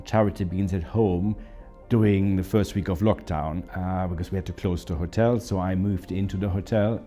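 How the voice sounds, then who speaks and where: A man's voice: on the low side, mellow. A man with a German accent, a hotel managing director, telling his story in an interview with hesitant fillers. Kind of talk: documentary interview.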